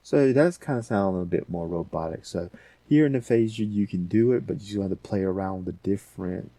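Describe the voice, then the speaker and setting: A man hosting a casual software tutorial, talking informally and thinking aloud as he goes. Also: on the low side, a little fast, dry recording. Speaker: audio editing tutorial host.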